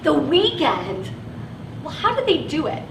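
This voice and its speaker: A woman's voice: high voice, very animated, a little slow, creaky, exaggerated voice. An actress on stage playing a frustrated working woman who drives a van and feels stuck in her job. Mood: indignant. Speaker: actress in a one-act play. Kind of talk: live stage play acting. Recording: echoey, distant, noisy live stage recording.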